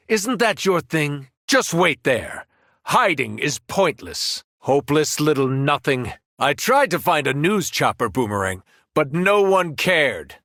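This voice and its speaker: A man voicing a powerful superhero in a video game, speaking in an earnest, deliberate manner. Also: fairly high pitch, pushed and bright, no room sound, clean studio sound, exaggerated voice. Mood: taunting, scornful, then cold menace. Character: powerful male superhero, once kindly, now menacing. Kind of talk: acted video game voice lines.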